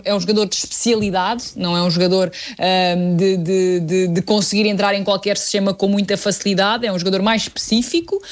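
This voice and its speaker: A woman from southern Portugal with a European Portuguese accent, a panelist giving a measured football analysis with many pauses to find her words. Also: mid-range voice. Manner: measured, hesitant, many fillers and restarts while building a point. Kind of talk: football podcast discussion.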